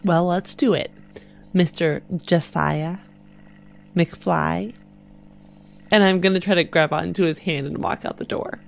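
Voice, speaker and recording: A woman's voice: fairly low pitch, steady tone, a little slow, vocal fry, pauses often. A woman talking casually as a player in a tabletop game, thinking through plans in character. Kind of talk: casual in-game planning chat.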